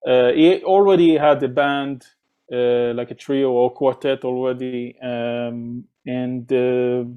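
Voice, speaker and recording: A man's voice: medium pitch, steady tone, slow pace, clean tone, dull audio. A man from Israel, a drummer, telling stories in a casual interview, with many fillers and restarts. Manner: rambling, many fillers and restarts, acts out quoted voices.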